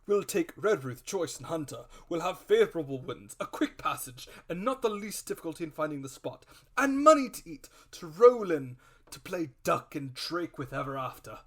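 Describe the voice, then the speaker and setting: A man voicing a blustering, excitable gentleman squire in a dramatic audiobook, loud, emphatic and exclamatory. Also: a little high, moderate pace, pitched above the performer's own voice. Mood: excited, grandiose.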